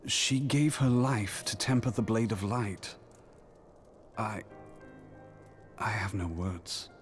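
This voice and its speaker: A man's voice: fairly low pitch, takes it slowly, soft background music. An actor playing a composed, sombre, formal male comrade in a video game cutscene.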